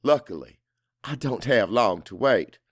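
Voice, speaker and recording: A man's voice: fairly low pitch, expressive, measured pace, slightly rough, dry recording, clean studio sound. A man narrating first-person dramatic fiction in a brooding, confessional manner. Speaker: audiobook narrator.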